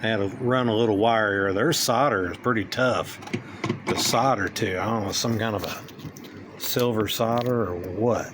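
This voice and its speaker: A man's voice: on the low side, normal speed, background noise. A man giving a casual hands-on demonstration, talking in a plainspoken, folksy way with informal grammar. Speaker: hobbyist presenter demonstrating radio gear.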